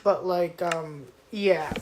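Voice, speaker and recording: An eighteen-year-old woman with a youthful, childish-sounding voice, chatting casually and rambling on a gaming stream, with lots of fillers and throat clearing. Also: on the low side, extremely slow, background noise.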